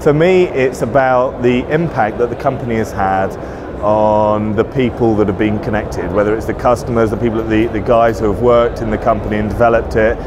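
Man interviewed amid clear exhibition-hall noise. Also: lowish voice, steady tone, a little fast, hardly any pauses. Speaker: managing director being interviewed. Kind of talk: conversational trade-show interview. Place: large indoor trade show floor. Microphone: lapel. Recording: noisy busy exhibition hall. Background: loud trade show ambience.

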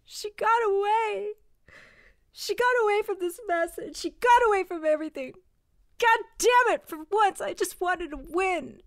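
An actress with a Mexican American accent playing a proud, vengeful young woman, intense and shifting between mutters and shouts. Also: very high voice, measured pace, heavily acted. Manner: intense, swinging from muttering to yelling. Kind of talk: audio drama acting.

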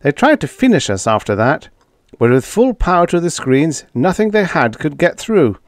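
A man voicing a gaunt, tired starship captain giving a brisk, plain account. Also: on the high side, big pitch swings, no room sound, studio quality, voice pushed up. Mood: tense. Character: gaunt, weary starship captain, steady and determined.

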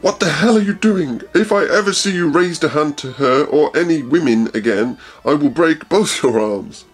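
Protective young man, forceful and threatening; a man doing the voice. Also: a little high, expressive, moderate pace, slightly rough, voice pushed up. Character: protective, stern young man defending a woman.

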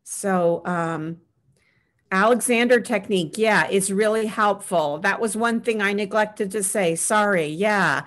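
A middle-aged woman on a video call panel, giving earnest practical advice and often pausing with "um". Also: mid-range voice, a bit bright. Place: small home room with framed pictures. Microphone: webcam on a video call.

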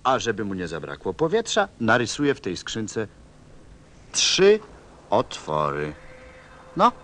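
A man's voice: on the low side, wildly swooping pitch, unhurried, fairly bright tone, full character voice. An actor playing a stranded pilot in a radio play, puzzled but patient, musing and hesitant as he talks. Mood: obliging.

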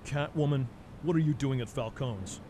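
An actor playing a grim, brooding masked vigilante in a noir radio drama, terse and solemn. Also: male voice, medium pitch, moderate pace, mellow, slight background noise, lightly acted. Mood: grim, resolute.

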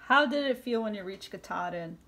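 Casual woman interviewer, medium pitch. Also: animated, fairly quick, fairly bright tone. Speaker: interviewer, documentary maker.

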